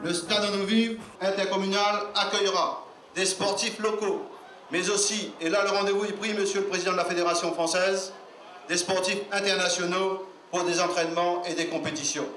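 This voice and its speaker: A man's voice: sits high, flat delivery, normal speed, fairly bright tone. A man giving a public speech at a ceremony. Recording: echoey sound with some noise.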